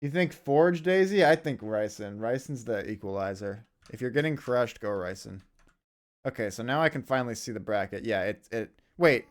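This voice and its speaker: A man's voice: medium pitch, expressive, moderate pace. A man doing casual esports commentary, laid-back, laughing often and swearing casually.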